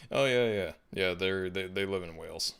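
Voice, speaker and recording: Male streamer with a very deep voice and a relaxed, meandering delivery. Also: moderate pace, vocal fry, clear, resonant tone. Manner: laid-back and musing, frequent restarts and soft laughs. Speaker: livestream host. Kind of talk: casual livestream chat, reading viewer comments.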